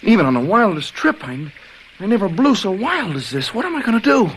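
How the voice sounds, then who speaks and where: Brash, haunted young hipster, stammering slang, very animated pitch, clear noise behind, radio drama. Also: male voice, brisk. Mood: shaken. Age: young adult. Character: brash young hipster punk, cocky but jittery and haunted.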